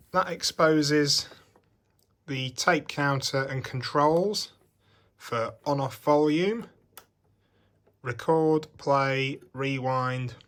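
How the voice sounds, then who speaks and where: A man's voice: medium pitch, a bit bright, a little hiss or hum. A man casually demonstrating a vintage tape machine at his workbench, explaining its parts in a chatty, thinking-aloud way. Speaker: vintage electronics hobbyist. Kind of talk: casual hands-on product demonstration. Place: home workbench.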